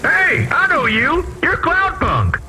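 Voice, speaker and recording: An actor playing an earnest, masked refugee from underground vents in a video game, pleading in dramatic, formal wording. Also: male voice, extremely high pitch, huge pitch swings, slow, over music, exaggerated voice, low-quality recording. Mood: urgent pleading.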